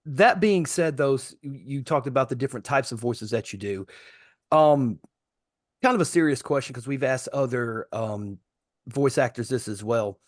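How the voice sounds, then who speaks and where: A man's voice: medium pitch, normal speed, no echo, clean studio sound. A man hosting a casual podcast interview, putting questions to a guest in everyday conversational speech.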